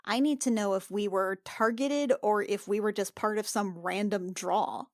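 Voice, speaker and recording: A woman's voice: medium pitch, normal speed, dry recording. Woman playing a tabletop game, close dry sound. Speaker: player in a tabletop roleplaying game. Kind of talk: casual in-character and descriptive game talk.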